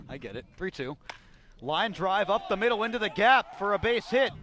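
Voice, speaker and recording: A man works as a baseball announcer, calling the action live for radio. His voice is bright and forceful and sits a little high. He describes each play as it unfolds in a play-by-play style. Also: very animated, normal speed.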